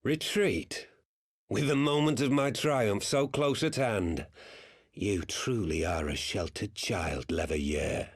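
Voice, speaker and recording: An actor playing a fanatical, embittered rebel commander in a fantasy game, with a grandiose, theatrical delivery. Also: male voice, fairly low pitch, slightly rough. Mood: mocking. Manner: grandiose, bitter, slow dramatic declamation.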